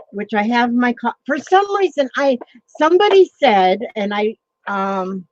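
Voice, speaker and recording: A woman's voice: a little high, no room sound. A woman hosting a casual livestream chat, rambling along with frequent ums and greeting viewers by name. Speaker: livestream host. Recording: dull lo-fi sound.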